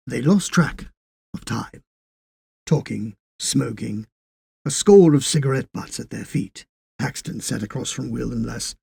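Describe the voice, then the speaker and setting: A man reading a literary story as narrator, in a scripted, measured storytelling style. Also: medium pitch, creaky, mellow, husky, no room sound, clean studio sound.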